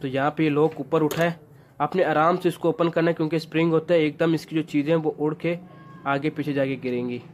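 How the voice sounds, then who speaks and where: Man, slightly high voice, flat intonation, informal step-by-step how-to narration, instructional. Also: a little fast. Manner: instructional, step-by-step, addressing viewers as friends. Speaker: repair tutorial presenter. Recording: noticeable background noise.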